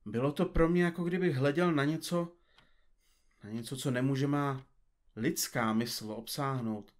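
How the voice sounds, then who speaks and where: A man's voice: mid-range voice, close to an everyday voice. A man playing a pompous, absent-minded detective in an audio comedy drama, speaking in florid, formal, bookish phrases. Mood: shaken, bewildered.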